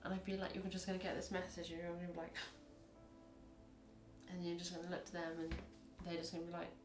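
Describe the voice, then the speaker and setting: Woman, husky, low pitched, chatty tarot reading, echoey room. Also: flat delivery, fairly quick, music in the background. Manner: rambling, chatty, acts out little scenes. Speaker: tarot reader. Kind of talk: casual tarot reading to camera.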